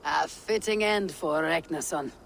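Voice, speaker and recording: An actress playing a cool, wry, tough Viking warrior in a video game, speaking in a dry, unhurried deadpan. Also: female voice, low voice, vocal fry, slight background noise. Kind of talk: dramatic video game dialogue.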